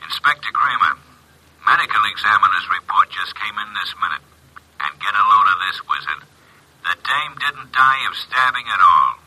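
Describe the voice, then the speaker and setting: Sharp, forceful police inspector on telephone. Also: male voice, fairly low pitch, expressive, normal speed, slightly rough, full character voice, poor audio. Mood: excited. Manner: punchy, slangy cop talk. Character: brash, excitable male police inspector. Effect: telephone filter. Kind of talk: 1940s-50s radio mystery acting.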